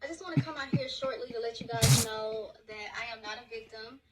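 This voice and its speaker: A woman's voice: a little high, brisk, heavy background noise. A woman in her twenties making a personal statement in a played clip, earnest and hesitant. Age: 20s. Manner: plain and earnest, with hesitant fillers.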